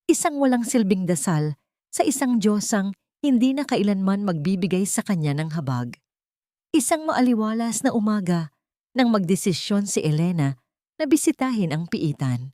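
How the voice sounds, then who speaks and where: A woman reading a scripted dramatic audio story as narrator, in a measured, emotive, literary way. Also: on the low side, mellow, no echo, clean studio sound.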